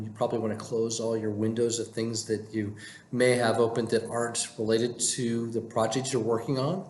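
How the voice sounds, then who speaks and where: A man with a mid-pitched voice records an advice vlog in an echoey room, with faint noise behind him. He talks casually and haltingly, often pausing and repeating words as he explains his points. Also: a little mellow. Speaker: vlogger giving work advice. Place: indoor room with plain wall, working from home.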